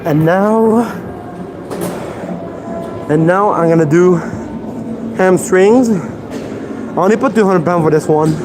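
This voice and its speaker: A young Quebec French man with a fairly high voice chats slowly and casually on a live stream. He grunts between sets at the gym while music plays clearly behind him. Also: rough recording. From Quebec, Canada. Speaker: livestreamer. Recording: music playing in the background.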